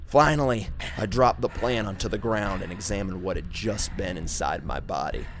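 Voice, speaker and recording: A man's voice: lowish voice, normal speed, a bit bright. A man narrating a first-person horror story in an intense, theatrical, dramatic way. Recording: music behind the voice.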